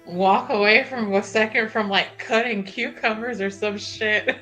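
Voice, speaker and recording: A woman playing a tabletop roleplaying game, halting and informal as she narrates actions and improvises dialogue. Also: mid-range voice, fairly even intonation, pushed and bright, faint music behind. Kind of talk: casual narration of her character's actions mixed with improvised dialogue.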